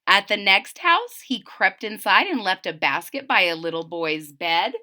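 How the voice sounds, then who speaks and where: A woman reading a picture book aloud to children in a dramatic, animated way, stopping to explain things. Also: medium pitch, measured pace. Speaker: children's story reader. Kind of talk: expressive read-aloud with explanatory asides to children.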